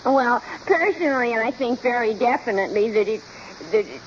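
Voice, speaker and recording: A woman playing a scatterbrained, wisecracking maid in an old radio sitcom, with a deadpan manner. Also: on the high side, normal speed, lightly acted. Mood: vague, deadpan. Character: muddled, wisecracking household maid. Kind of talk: 1950s radio sitcom acting. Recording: muffled, very noisy old radio recording.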